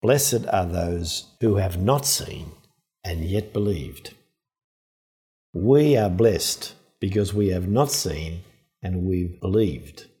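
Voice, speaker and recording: A man giving a measured, earnest Easter homily on a gospel passage. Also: low-pitched, mellow. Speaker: preacher.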